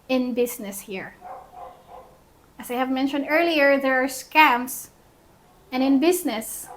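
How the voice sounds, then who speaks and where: A woman co-hosting a vlog, explaining a numbered list in a deliberate way. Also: high voice, a bit bright, poor audio. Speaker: vlog co-host. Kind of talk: presenting a numbered list to camera.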